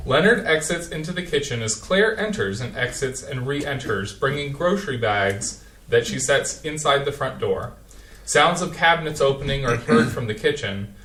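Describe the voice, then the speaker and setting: A man's voice: mid-range voice, lively intonation, normal speed, fairly bright tone. A man reading a play's stage directions aloud in a plain, matter-of-fact way. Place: theatre stage. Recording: echoey hall, distant from the mic.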